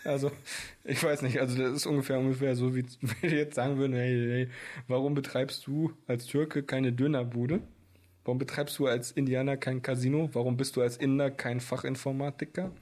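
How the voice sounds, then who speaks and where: A man's voice: medium pitch, flat delivery, fairly quick, a little mellow. A man co-hosting a podcast, rambling and joking in casual banter, with a mild Berlin accent. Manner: rambling, joking, prone to tangents. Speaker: podcast co-host. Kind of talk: casual banter between friends.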